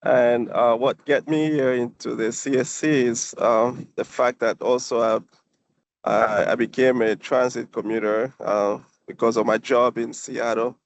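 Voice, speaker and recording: Man with a gravelly voice. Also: medium pitch, flat delivery, a little slow, slight background noise. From Congo. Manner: earnest, with fillers. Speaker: committee member. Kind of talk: self-introduction at a meeting.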